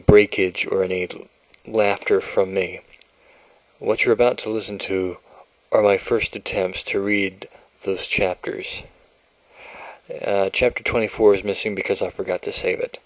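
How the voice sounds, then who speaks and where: A man giving a plain, matter-of-fact spoken introduction to his own recordings, in a slightly halting way. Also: low voice, no echo, poor audio.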